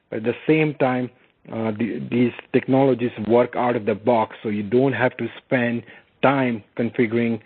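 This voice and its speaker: A man giving an explanatory product presentation on a conference call, speaking haltingly with frequent filled pauses. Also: mid-range voice, husky. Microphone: conference call phone line. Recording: muffled conference phone line.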